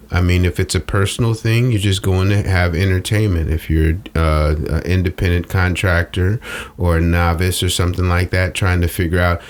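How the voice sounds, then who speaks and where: A man talking casually as a strategist guest in an interview, explaining in colloquial phrases and coaching with rhetorical questions. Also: fairly low pitch. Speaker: social media strategist guest. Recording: noticeable background noise.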